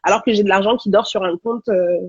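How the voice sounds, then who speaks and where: Woman host with a cold, husky voice, dry dull lo-fi video-call sound, thoughtful questions. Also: mid-range voice, fairly even intonation, quick pace, dry recording. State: has a cold. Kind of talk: casual live-stream interview.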